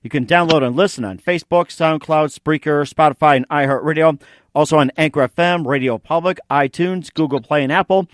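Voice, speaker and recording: Man, podcast host, raised pitch, effusive delivery, very dry close sound. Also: a little fast, dry recording. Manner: gushing, effusive. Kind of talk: enthusiastic interview chat with read sponsor plugs.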